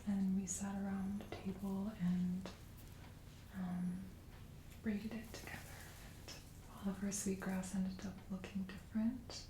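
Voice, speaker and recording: A young woman whispering softly and unhurriedly as a guest in a relaxed ASMR chat. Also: medium pitch, background noise. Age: young adult. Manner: soft whispering, unhurried and reflective. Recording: echoey room.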